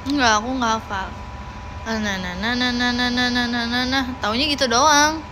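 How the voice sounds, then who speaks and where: A woman's voice: on the high side, steady tone, moderate pace, background noise. A woman of nineteen, chatting casually and playfully on a livestream, often repeating words as she answers viewers. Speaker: idol livestream host.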